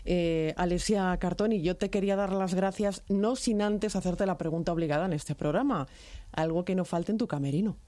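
A woman's voice: fairly low pitch, moderate pace, no echo. Chatty woman radio host, dry studio. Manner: warm, chatty, with many fillers. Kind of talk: radio culture interview. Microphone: studio mic.

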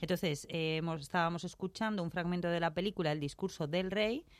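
A woman's voice: lowish voice, a little fast, no room sound. Teasing woman radio co-host. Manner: teasing, recaps the details. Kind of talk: casual radio chat.

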